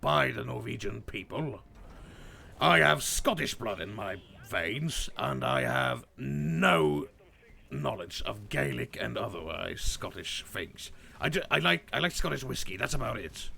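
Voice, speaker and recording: A Norwegian man chatting casually on a gaming stream with dry, deadpan remarks. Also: mid-range voice, a little slow, gravelly. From Norway. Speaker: streamer playing a dwarf persona.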